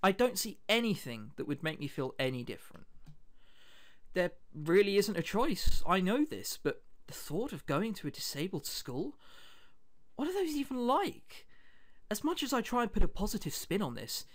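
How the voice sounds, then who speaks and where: A man's voice: sits high, big pitch swings, moderate pace. A man reading the part of a bitter, sardonic teenage boy in a visual novel. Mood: brooding, resigned. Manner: indignant, brooding, sardonic. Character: bitter, sarcastic teenage boy with a heart condition.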